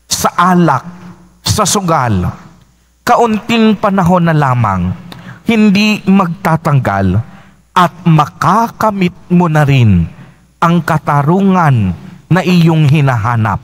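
Priest, 30s, slow singsong homily at a church pulpit mic, voice a touch high. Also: male voice. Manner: slow, lilting preaching with repeated refrains. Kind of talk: homily at Mass. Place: church sanctuary, indoors. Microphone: mic on a stand at the pulpit.

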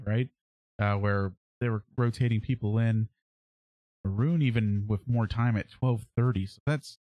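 A man in his thirties hosting a sports podcast, giving casual analysis in a halting way as he thinks aloud. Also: medium pitch, a little mellow, dry recording. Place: small indoor room at home, on a livestream webcam.

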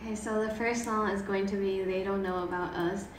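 A young woman being interviewed as a vocal student, answering softly in a casual way with brief fillers. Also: medium pitch, steady tone, brisk, background noise. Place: living room, on a sofa. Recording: echoey room with music behind.

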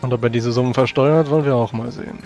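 A man of average pitch improvises dialogue in a role-playing game. His phrasing is formal and measured, and quiet music runs behind him. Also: fairly even intonation, creaky, highs cut off. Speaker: tabletop role-playing player.

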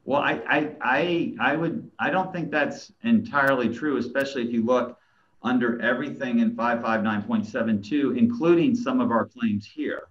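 A middle-aged man arguing an appeal as an attorney, stumbling over restarts before laying out measured legal points from a small room over a video call. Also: medium pitch, fairly bright tone. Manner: stammering restarts, then measured legal argument. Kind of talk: courtroom oral argument. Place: small plain room, indoors. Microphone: video call webcam. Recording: dull, lo-fi video call audio.

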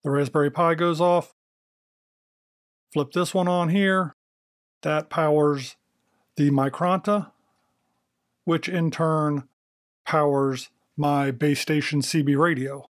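An American man narrates a casual demo as a video host. His voice sits a little higher than average for a man. The sound is close and dry, with very little room in it. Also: fairly even intonation, slow, long pauses, no echo.

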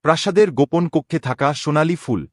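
A man voicing a solemn, respectful young prince in an animated story, speaking formally and gravely. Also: fairly high pitch, a little fast, no echo, studio quality, close to an everyday voice. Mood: confessing, resolute. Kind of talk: dramatic Bengali animated story dialogue.

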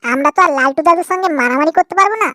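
A man voicing a cheeky, scheming boy in a comedy cartoon, with a pitch-raised voice. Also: racing, bright, forceful tone, dry recording, clean studio sound. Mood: sly scheming. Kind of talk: amateur comedy cartoon dubbing.

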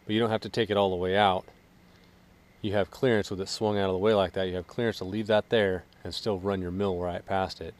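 A man in his thirties giving a relaxed, casual walkthrough of equipment outdoors in a wooded yard, filming himself. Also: low-pitched, fairly quick. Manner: relaxed, explanatory, joking. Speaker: vlogger.

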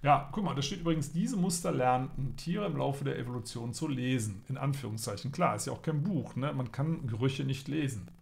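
A man's voice: mid-range voice, fairly quick, creaky, hardly any pauses, darkish tone. A middle-aged man co-hosting a casual live stream, chatting and joking while reading headlines aloud. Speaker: co-host. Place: indoor room, seen on video.

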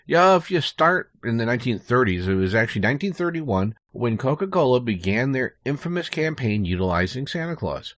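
Animated man explaining history on a podcast. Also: mid-range voice, brisk, few pauses, dry recording, clean studio sound. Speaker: guest. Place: small recording booth.

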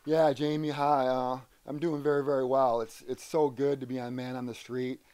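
Mellow American man, earnest and halting. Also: medium pitch, level tone, smooth. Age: middle-aged or older. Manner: earnest, halting with repeated words. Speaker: interview guest, aspiring rock singer. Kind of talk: casual interview answers. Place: wooden sunroom indoors.

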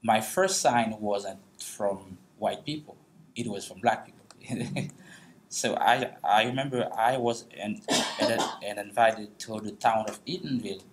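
A man's voice: on the low side, background noise. Haitian man telling his story. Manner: halting, many restarts, laughs often. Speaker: guest speaker, author. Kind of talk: storytelling on a panel. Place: large meeting room, seated on stage.

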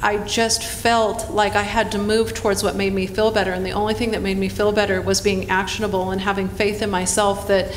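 A woman on a conference panel, speaking in a halting, searching, earnest way about her own experience. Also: medium pitch, flat delivery. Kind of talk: personal reflection on a panel. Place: hotel conference room. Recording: roomy hall with faint noise.

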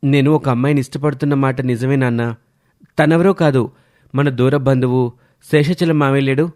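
A man's voice: medium pitch, fairly even intonation, normal speed, a little mellow, lightly acted. A man voicing a playful young brother-in-law in a cartoon family drama, with an emphatic, teasing delivery. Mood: earnest.

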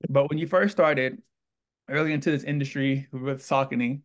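A Texan man hosting an interview, talking in an enthusiastic, effusive and rambling way into a studio mic on a boom arm in a small indoor room. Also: fairly even intonation, moderate pace. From Texas. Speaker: host.

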